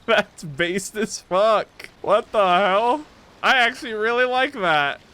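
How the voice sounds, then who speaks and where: A young man streaming his reactions to a game, slangy and excitable, laughing often. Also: high voice, slow, clean tone. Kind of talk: casual reaction commentary.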